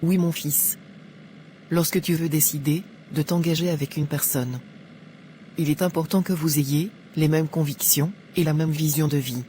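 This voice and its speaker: Woman acting a devout, gently counselling mother. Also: low voice, fairly even intonation, moderate pace, a little hiss or hum, lightly acted. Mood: advising, pious. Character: devout, proud middle-aged mother giving gentle counsel.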